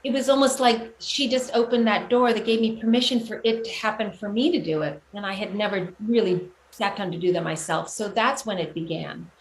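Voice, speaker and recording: A woman's voice: mid-range voice, brisk, hardly any pauses. Middle-aged woman, reflective, in a roomy echo. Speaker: guest, children's music performer and teacher. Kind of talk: conversational interview answers about own career. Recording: roomy echo, clear background noise.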